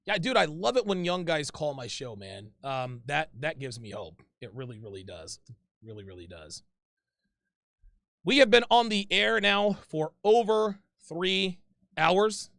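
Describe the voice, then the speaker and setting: A man with a fairly high voice hosts a call-in advice show in a loose, chatty way. He repeats words, restarts his sentences and keeps calling people "dude". The sound is close and dry, with no room around it. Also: very animated, a little slow, vocal fry, a bit bright, dry recording. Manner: casual, repeats himself, calls people "dude".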